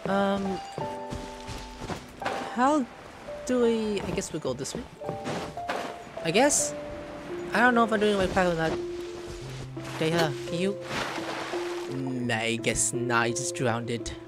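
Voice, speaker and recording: A man's voice: a little high, slow pace, long pauses. A man streaming a game with casual commentary, playful and slangy, often exclaiming. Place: indoor room, webcam gaming setup. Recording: game music behind the voice.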